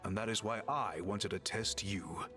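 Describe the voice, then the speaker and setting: A man voicing a courteous, earnest, inquisitive scholar in a video game, speaking in a formal, measured, deliberate way. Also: on the low side, pushed and bright, faint music behind. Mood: grave, explanatory. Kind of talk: video game dialogue voice acting.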